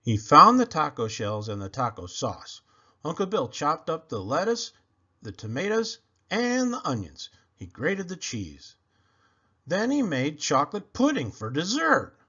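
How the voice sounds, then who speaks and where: A man reading a children's picture book aloud in an expressive, animated and deliberate storytelling manner. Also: a little high, husky, highs cut off.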